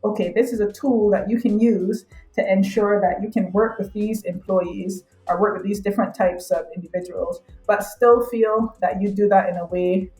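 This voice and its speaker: A woman's voice: mid-range voice, steady tone, normal speed, hardly any pauses, dark tone. Echoey-sounding woman. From Barbados. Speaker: interview guest, academic and consultant. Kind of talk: conversational explaining in an interview.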